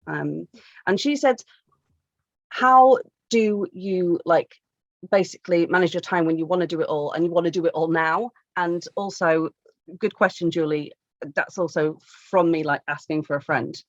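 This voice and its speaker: A woman's voice: on the low side, normal speed, no echo. Female co-host, casual and wry, chatty question-reader, close dry sound with dull lo-fi quality. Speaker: co-host reading out audience questions. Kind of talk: casual chat.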